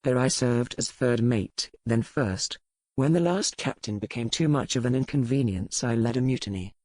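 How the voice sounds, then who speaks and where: An actor playing a proud, hardened pirate lord with a bitter past, speaking in a formal, measured, dry way in an audiobook. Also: male voice, medium pitch, a little mellow, dry recording, natural voice, low-quality recording. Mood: dry, matter-of-fact. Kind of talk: audiobook character dialogue.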